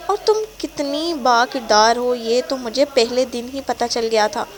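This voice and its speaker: Brief: cold, shouting boyfriend in an audio novel, a woman reading him, loud and harsh. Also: on the high side, fairly even intonation, natural voice. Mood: angry. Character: angry callous young man boyfriend.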